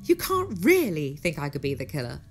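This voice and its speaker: A woman's voice: low voice, wildly swooping pitch, moderate pace, soft background music, full character voice. A woman acting as a well-to-do, composed but defensive wife and hostess in an audio drama.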